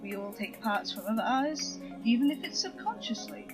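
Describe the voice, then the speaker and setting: A woman's voice: a little high, big pitch swings, fairly quick, over music. A woman delivering opinionated commentary in a video.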